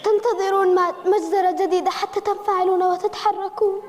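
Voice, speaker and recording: Woman acting a defiant young woman, very high voice, formal declaiming, echoey hall, music behind. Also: flat delivery, a little fast, darkish tone.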